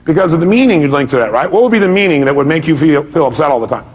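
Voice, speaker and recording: A man with a somewhat high-pitched voice speaks as a motivational seminar presenter. He addresses his audience directly and emphatically, driving each point with rhetorical questions. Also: animated, rapid-fire.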